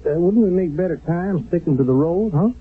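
An actor playing a talkative, excitable young American soldier with folksy grammar and a husky voice in a radio drama. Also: male voice, high-pitched, fairly quick, full character voice. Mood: chatty, uneasy. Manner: colloquial, uneducated grammar, excitable. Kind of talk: 1970s radio drama acting. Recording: muffled narrow-band 1970s radio broadcast.

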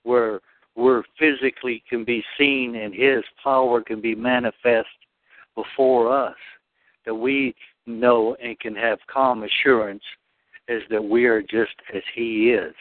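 A man speaking earnestly and devoutly about faith, with halting restarts. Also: medium pitch, steady tone, no room sound. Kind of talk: spiritual discussion. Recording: dry phone line.

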